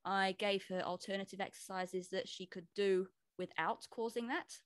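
A woman's voice: medium pitch, steady tone, normal speed, dry recording. Chatty New woman on a video call. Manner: chatty, explains with quoted asides. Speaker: personal trainer presenting to a trainers' meeting. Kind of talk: casual presentation over a video call.

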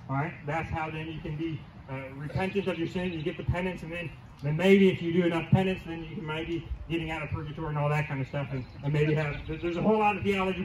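A middle-aged pastor giving a conversational outdoor sermon, asking the crowd questions and repeating key lines, heard through a handheld mic and PA. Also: male voice, on the high side, noisy background. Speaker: teaching pastor. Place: church lawn outdoors, congregation under a tent. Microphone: handheld mic through PA speakers, filmed from afar. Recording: distant, roomy outdoor PA sound.

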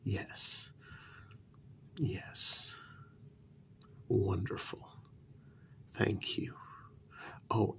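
A man playing a cajoling talent agent in a soft-spoken ASMR role-play, half-whispering gently and coaxingly, close to the microphone. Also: mid-range voice, creaky, a little hiss or hum. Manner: gentle, coaxing, deliberate with pauses. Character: short, cajoling male talent agent to monster women.

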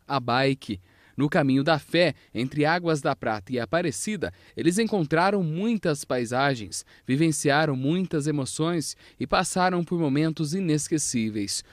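A man's voice: a little high, no echo, studio quality. A young man with a Brazilian accent, a TV reporter narrating a scripted news feature.

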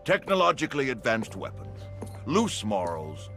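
A man's voice: mid-range voice, huge pitch swings, moderate pace, sharp tone, faint music behind, exaggerated voice. An actor playing a slick, upbeat corporate orientation-video presenter in a video game, selling like a salesman. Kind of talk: scripted promotional narration in a game.

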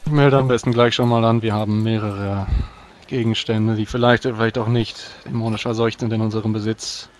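A man's voice: fairly low pitch, level tone, a little fast, natural voice. Casting: man as proud tabletop-game knight, formal and commanding, weary, noisy background.

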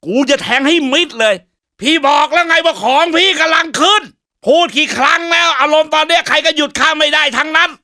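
A man's voice: very high voice, fairly even intonation, a little fast, sharp tone, exaggerated voice. A man voicing a cocky, trash-talking country tough guy as a comic game announcer, boastful and mocking with slangy swagger. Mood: boastful, taunting.

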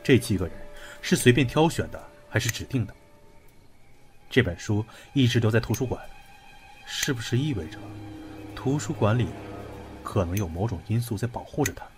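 Actor playing a low-voiced, brusque young investigator. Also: male voice, lively intonation, faint music behind, natural voice. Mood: grave, analytical. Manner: deliberate, methodical, commanding. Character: brusque, analytical young man investigating a cursed book. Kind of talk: Chinese audio drama acting.

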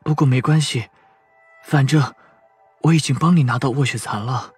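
A wounded young man, very breathy, husky, resigned, audio drama. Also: medium pitch, normal speed, no room sound, faint music behind. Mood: weary. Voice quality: breathy, sighing.

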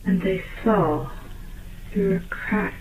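A woman narrating a dark children's tale as a storyteller, with a soft, breathy, half-whispered delivery. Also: mid-range voice, slow pace. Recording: muffled, noisy old tape, phone-like band.

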